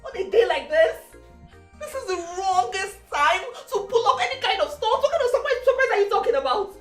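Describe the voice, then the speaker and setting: An actress playing a despairing young princess, wailing and pleading hysterically. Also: female voice, extremely high pitch, very animated, a little fast, soft background music. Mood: frantic. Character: young woman, royal daughter, frantic and despairing. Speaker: distressed princess.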